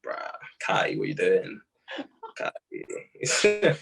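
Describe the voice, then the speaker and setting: A man's voice: mid-range voice, big pitch swings, takes it slowly, fairly bright tone. A young man with a British accent, chatting casually as a musician being interviewed, laid-back and full of slang.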